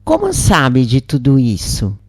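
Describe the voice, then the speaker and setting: A woman's voice: rumbling low, huge pitch swings, unhurried, darkish tone, husky, no echo, clean studio sound, full character voice. A woman with a Brazilian accent voicing a regretful, unsure adoptive mother who confesses and asks questions in a story. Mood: puzzled.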